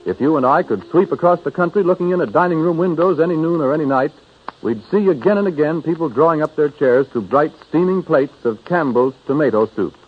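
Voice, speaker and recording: Animated, husky vintage radio announcer man. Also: on the high side, fairly quick. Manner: polished, emphatic salesmanship. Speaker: radio announcer and sponsor's pitchman. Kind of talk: 1930s radio advertising narration. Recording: muffled 1930s radio broadcast.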